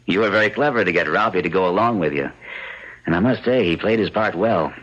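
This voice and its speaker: A man's voice: low voice, quick pace, a bit bright. An actor playing a rational, dismissive but reassuring husband and father in an old-time radio drama. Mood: skeptical, firm. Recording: dull lo-fi radio recording, faint music.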